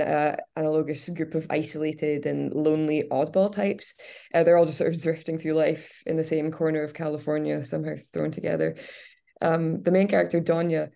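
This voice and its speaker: A woman's voice: low voice, gravelly, warm tone. A woman on a community radio show reviewing a film in casual, enthusiastic talk with many fillers. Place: radio studio.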